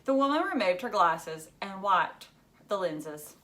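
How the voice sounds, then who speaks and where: A woman narrating a children's novel aloud in an expressive read-aloud style. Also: mid-range voice, a little slow.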